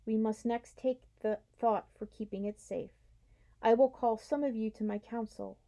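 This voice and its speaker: A woman voicing a wise, majestic male lion in a storybook reading, speaking in a slow, measured way. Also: on the high side, steady tone, mellow, lightly acted. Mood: solemn.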